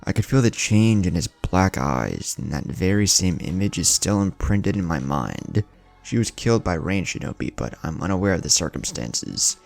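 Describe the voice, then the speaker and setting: A man playing an earnest, sombre ninja father who speaks in a measured, reflective way in an anime fan story. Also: sits low, very animated, gravelly, darkish tone, faint music behind, full character voice. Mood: solemn, reflective.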